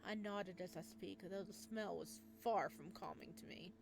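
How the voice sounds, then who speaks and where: A woman's voice: mid-range voice, normal speed, creaky, music in the background. Woman reading a visual novel aloud. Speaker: game streamer.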